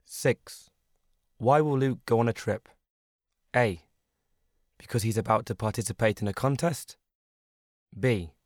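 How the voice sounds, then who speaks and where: A man's voice: mid-range voice, unhurried, long pauses, darkish tone, no echo, clean studio sound. A man reading scripted listening-test questions and options as the narrator.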